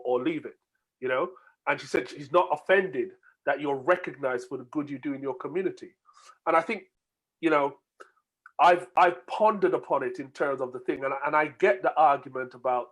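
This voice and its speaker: A man's voice: medium pitch, fairly bright tone, poor audio. A man hosting an online group discussion over a video call, talking in a conversational, moderating way. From United Kingdom. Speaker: host.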